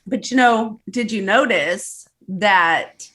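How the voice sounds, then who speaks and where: A woman's voice: medium pitch, slow pace, fairly bright tone. A woman co-hosting a casual recap show, speaking in an informal, chatty way. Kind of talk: casual chat recapping a TV episode.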